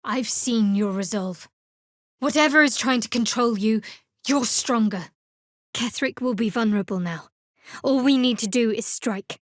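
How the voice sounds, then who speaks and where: A woman playing a guarded, sardonic young cleric of a dark goddess in a fantasy video game, speaking in a dry, measured way. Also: fairly high pitch, no room sound, natural voice. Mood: earnest, steady. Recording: dry close studio recording.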